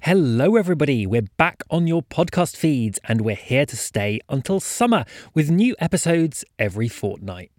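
Lively-pitched man introducing an episode. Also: normal speed, hardly any pauses, dry recording, clean studio sound. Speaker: podcast host introducing the episode.

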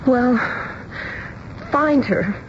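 An actress playing an anxious, fretful young bride-to-be in a radio drama, plaintive and emotional. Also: female voice, high-pitched, drawn-out words. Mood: distressed, impatient. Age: young adult. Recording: dull vintage radio recording, faint noise.

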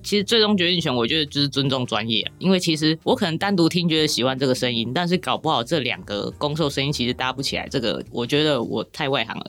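A woman's voice: extremely low pitch, lively intonation, quick pace, hardly any pauses, a bit bright, faint music behind. A Taiwanese woman chatting casually as a podcast guest, talking in a chatty, self-deprecating way. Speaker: podcast guest, novel author. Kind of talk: casual chat.

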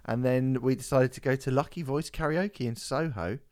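Rambling, sweary podcast host. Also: male voice, medium pitch, normal speed, a little mellow, dry recording, clean studio sound. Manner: swearing, rambling, laughs often. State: voice a bit off after karaoke. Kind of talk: casual podcast chat.

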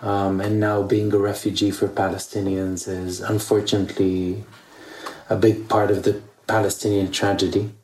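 A man's voice: fairly low pitch, little pitch movement, normal speed, a little mellow, a little hiss or hum, rough recording. A man from East Jerusalem speaking as an interview guest in an earnest, reflective way, often repeating words for emphasis. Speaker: interview guest, theater director.

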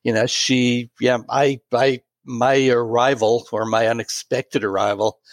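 A man in his late sixties telling a personal story in an interview, pausing and restarting often. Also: medium pitch, little pitch movement, no echo, clean studio sound. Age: 60s. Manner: warm, halting anecdotal storytelling with many restarts. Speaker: guest.

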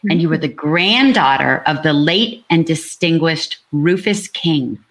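A woman's voice: low voice, unhurried, fairly bright tone, low-quality recording. Southern woman hosting a book chat. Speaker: author and co-host reading out character fates. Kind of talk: live online book talk, part chat and part reading aloud.

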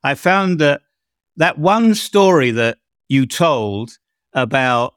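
A man's voice: medium pitch, a bit bright, clean tone, no echo, studio quality. A man from England hosting a podcast interview, asking long rambling questions and repeating words as he frames them. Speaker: podcast host. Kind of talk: conversational interview.

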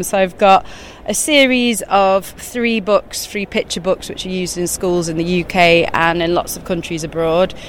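A woman talking as an interview guest at a busy exhibition show, animated and quick, often laughing mid-sentence. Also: mid-range voice, slight background noise. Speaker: guest, children's author and eco-journalist. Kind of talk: casual interview chat.

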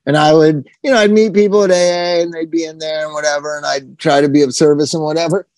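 A man's voice: fairly high pitch, steady tone, fast, a little mellow, no room sound. A man in his sixties, a podcast host telling rambling, profane stories in casual chat. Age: 60s.

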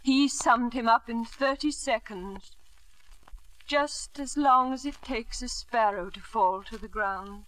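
An actress playing a bitter, grieving wife and mother, sardonic and deliberate, in old radio drama style. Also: on the high side, bright, forceful tone, poor audio. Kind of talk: 1940s-50s radio drama acting.

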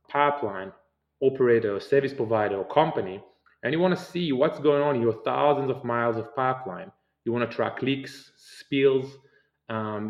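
Man, Israeli accent, middle-aged, earnest long explanations, mid-pitched, roomy dull sound. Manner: earnest, explains at length with fillers and non-native phrasing. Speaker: startup founder and CEO, guest. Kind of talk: podcast interview answers.

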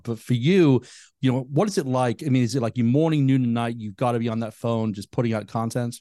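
A man hosting a podcast, asking questions in a relaxed, conversational way. His voice sits at an ordinary middle pitch. The recording is dry and close, with no room sound around it. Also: no room sound. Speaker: podcast host. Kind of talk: interview questions, casual.

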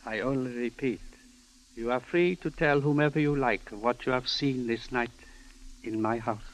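An old-time radio drama figure played by a man: a serene, courtly Chinese doctor of advanced years. He speaks at a middling pitch in formal, carefully measured sentences with inverted word order and an air of mystery. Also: close to an everyday voice, poor audio. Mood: grave, resolved. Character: calm, courtly, enigmatic elderly Chinese doctor.

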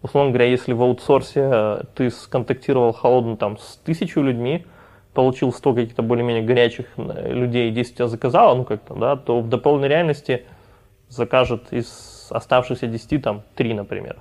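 A man's voice: medium pitch, fairly even intonation, brisk, a little mellow. A man, a company founder, talking casually in a podcast interview and rambling with frequent restarts. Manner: rambling, many restarts and fillers. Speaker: company founder and CEO guest.

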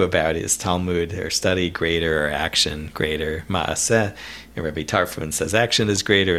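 A man's voice: deep voice, fairly bright tone. A man co-hosting a podcast, talking things through thoughtfully, often laughing and restarting his sentences. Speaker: podcast co-host. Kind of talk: casual discussion podcast.

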